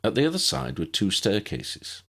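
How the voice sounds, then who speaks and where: Male audiobook narrator: gravelly edge, very animated intonation, scripted storytelling. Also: mid-range voice, normal speed.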